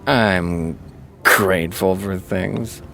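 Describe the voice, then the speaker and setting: A man's voice: gravelly, pushed and bright, a little hiss or hum, heavily acted. Man playing a gloomy, self-doubting young writer. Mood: wry. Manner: halting, wry, low-spirited. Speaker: actor in audio drama. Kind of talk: audio drama acting.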